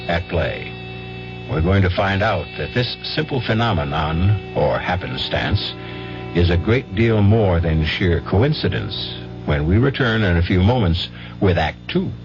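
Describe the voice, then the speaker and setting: A man hosting a 1970s radio drama, narrating in a theatrical, formal and portentous style. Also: low voice. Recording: muffled 1970s radio recording, music behind.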